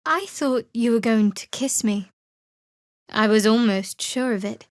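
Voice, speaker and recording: An actress playing a guarded, sardonic young cleric of a dark goddess in a video game, with a dry, wry delivery. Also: female voice, fairly high pitch, measured pace, no room sound, natural voice. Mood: soft, sincere. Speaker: game character.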